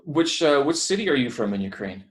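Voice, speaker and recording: A man's voice: medium pitch, sing-song, brisk. A man from Canada hosting a podcast interview over a video call, chatting casually and rambling with lots of fillers. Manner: rambling, filler-heavy, friendly. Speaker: podcast host. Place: building away from home. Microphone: headphones on a video call.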